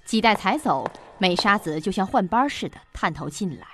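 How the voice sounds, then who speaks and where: A woman's voice: mid-range voice, big pitch swings, moderate pace, a bit bright, soft background music. A woman reading scripted narration for a suspense audio drama.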